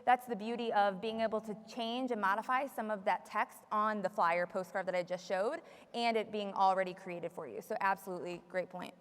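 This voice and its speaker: A woman leading a live software tutorial as a trainer, explaining step by step to a room. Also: medium pitch, steady tone, brisk. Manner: explanatory, repeats audience questions for the room. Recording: long echoey room reverb.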